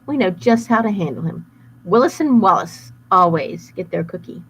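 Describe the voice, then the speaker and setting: A woman voicing a sly, scheming male cat detective who talks smoothly. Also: on the low side, lively intonation, moderate pace, slight background noise, close to an everyday voice, poor audio.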